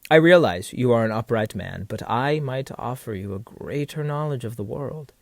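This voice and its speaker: A man performs a vain, seductive military captain from a period audio play. His mid-pitched voice swings dramatically up and down as he schemes in florid, theatrical phrases, pausing for rhetorical weight. The sound is close and dry. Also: animated, creaky, dry recording. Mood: sly, seductive.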